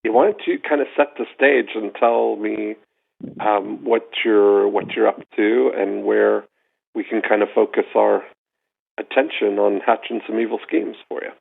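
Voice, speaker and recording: A man's voice: on the low side, fairly even intonation, unhurried, vocal fry, breathy edge. A man, a podcast host, interviewing a guest over a call in an easygoing, reassuring way. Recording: tinny phone-like call line.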